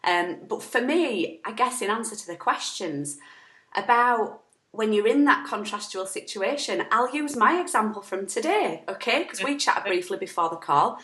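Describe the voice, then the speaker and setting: Bright, chatty woman in an echoey room. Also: medium pitch, animated, moderate pace. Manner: chatty, emphatic, acts out quotes. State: sore throat. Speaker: co-host. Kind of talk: casual spiritual self-help chat. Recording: echoey room on an online call.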